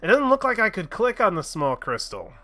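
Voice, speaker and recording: Lively, bright-voiced cursing game streamer. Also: mid-range voice, brisk. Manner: chatty, swears freely, laughs at themself. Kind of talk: casual gaming livestream chat.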